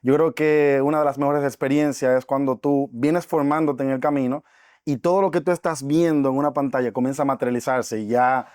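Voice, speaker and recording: A man's voice: a little high, darkish tone, no room sound. Earnest young man, dry sound. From Dominican Republic. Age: 20s. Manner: earnest and reflective, with frequent fillers. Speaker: podcast guest. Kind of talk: casual interview talk.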